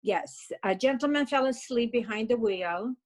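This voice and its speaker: A woman in her fifties with a Russian accent tells her own story as an interview guest. She speaks in a fairly high voice, upbeat and emotional, and fills her talk with "like" and "you know". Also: animated, moderate pace. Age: 50s. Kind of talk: personal storytelling.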